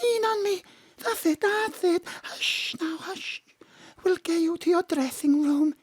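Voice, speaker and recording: A woman voicing an earnest young actress in a Bo Peep costume who pleads in a very high voice. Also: measured pace, slight background noise. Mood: soothing. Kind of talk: radio play acting.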